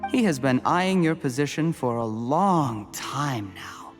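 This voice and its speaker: An actor playing a scheming male official who calmly gloats over his victim, with a menacing, measured delivery. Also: medium pitch, faint music behind. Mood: smug. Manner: calm, menacing, drops to a hushed whisper. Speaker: game character.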